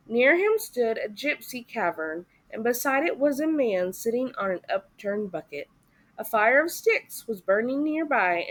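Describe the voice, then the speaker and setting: A woman reading a story aloud for a classroom as an expressive children's narrator. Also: on the high side.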